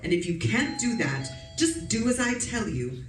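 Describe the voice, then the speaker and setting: A woman's voice: mid-range voice, big pitch swings, normal speed, soft background music, close to an everyday voice. A woman playing a bossy, scolding middle-aged wife in an old-time radio suspense play. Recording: roomy, distant mic, faint noise.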